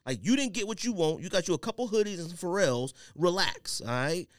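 A man hosting a podcast in casual banter, talking in an animated, slangy, emphatic way. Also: high-pitched, brisk, no room sound, studio quality. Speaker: podcast host.

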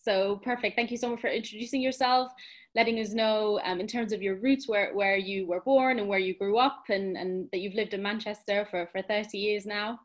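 A woman's voice: medium pitch, fairly even intonation, normal speed. Female podcast host, conversational interviewer with a mid-range voice. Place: remote online call.

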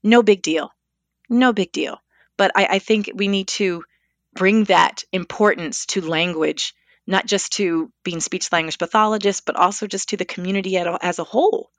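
A woman who is a speech language pathologist, talking thoughtfully and with lively emphasis in a podcast discussion. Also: medium pitch, moderate pace, no room sound.

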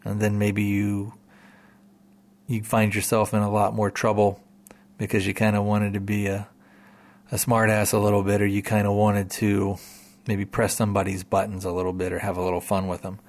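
A man's voice: fairly low pitch, monotone, normal speed. A man hosting a podcast, talking casually to listeners in a rambling way, full of repeats and "you know"s. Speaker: podcast host.

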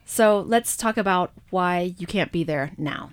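A woman's voice: on the low side, measured pace, crowd noise. A woman hosting an interview, giving brief, inviting prompts to her guests.

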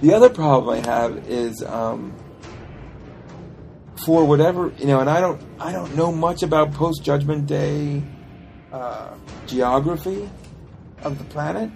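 A man co-hosting a casual film review chat, explaining things in an animated, halting way. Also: on the high side, creaky, faint music behind. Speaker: podcast co-host reviewing films.

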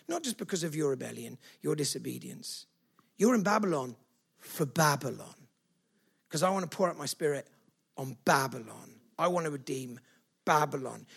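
A man's voice: on the high side, vocal fry, husky. A man preaching a sermon to a church, emphatic and conversational, often adding "right?". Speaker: pastor.

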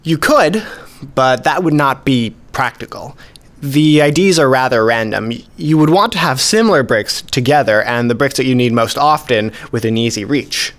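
A man's voice: medium pitch, expressive, moderate pace, fairly bright tone, natural voice. An actor playing a modest, precise male hobbyist collector, explaining things methodically in a radio play. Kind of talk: scripted dialogue, explaining.

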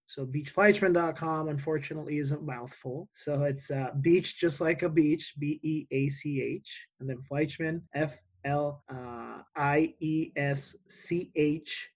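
A man's voice: fairly high pitch, a little slow, hardly any pauses. A man from Mexico whose first language is Spanish, speaking in a podcast interview with a Mexican accent, earnest and full of restarts and fillers. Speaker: tax advisor guest.

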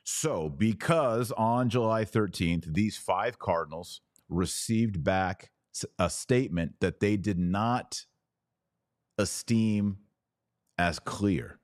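Man, low pitch, podcast host, colloquial religious commentary, emphatic explainer, very dry sound. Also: takes it slowly, dry recording.

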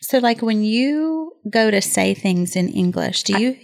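Woman cohost on a podcast, excited and curious, lots of reactions. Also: medium pitch, measured pace, vocal fry. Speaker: podcast co-host. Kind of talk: casual podcast interview.

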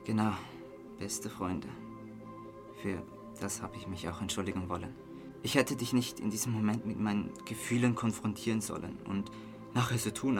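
Man playing a drunk young Swiss guy, low voice. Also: natural voice. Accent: Swiss German. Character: drunk, remorseful young man confiding in his best friend. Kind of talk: radio drama acting.

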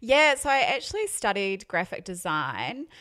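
A woman's voice: medium pitch, very animated, moderate pace, pushed and bright, dry recording, studio quality. A woman in her thirties with a New Zealand accent, chatting casually as an interview guest. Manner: chatty, many ums and likes. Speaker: guest, wedding photographer.

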